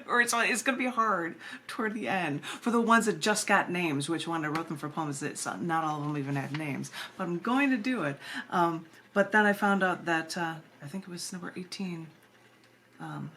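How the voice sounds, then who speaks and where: A woman with a fairly low voice introduces her poetry in an informal, chatty way. She wanders into asides with a playful streak. The amateur camera recording carries a faint layer of noise. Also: expressive, moderate pace, sharp tone. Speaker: poet and editor reading own work.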